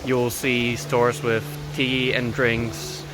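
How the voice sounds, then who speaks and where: A man from Poland speaking slowly and haltingly about his time abroad. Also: mid-range voice, level tone, over music, rough recording. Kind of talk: casual testimonial.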